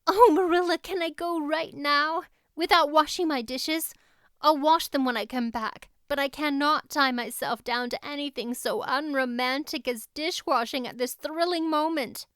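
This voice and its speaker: A woman voicing an excitable, talkative young girl with a gushing, dramatic, flowery manner in an audiobook. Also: sits high, moderate pace, no echo, clean studio sound, voice pushed up. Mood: breathless joy. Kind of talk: audiobook character voice.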